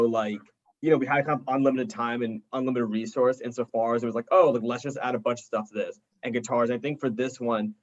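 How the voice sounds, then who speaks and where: Young man chatting casually, slightly dull audio. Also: mid-range voice. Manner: enthusiastic, informal, heavy use of "like". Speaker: indie rock band member being interviewed. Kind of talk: casual chat.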